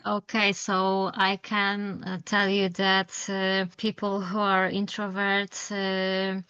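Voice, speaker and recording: A woman's voice: medium pitch, completely flat delivery, slow, pushed and bright, clean tone, highs cut off. A Polish woman guest speaking English as a learner, hesitant, with frequent fillers and simple phrasing. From Poland. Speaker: guest, former student. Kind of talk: interview answers in a learner's English.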